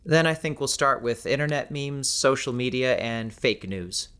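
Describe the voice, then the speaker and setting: A man's voice: mid-range voice, animated, normal speed, bright, forceful tone, clear, resonant tone. Casual, wry husband voiced by a male narrator. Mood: easygoing. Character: easygoing, wry young husband who teaches. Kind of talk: audiobook character dialogue.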